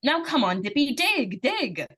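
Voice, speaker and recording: A determined young schoolgirl played by a woman in a vintage-style radio thriller. Her voice is fairly high, and she speaks plainly and earnestly, pressing her point with childlike insistence. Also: very animated, unhurried, very noisy. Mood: eager, coaxing. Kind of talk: old-time radio suspense drama, performed live.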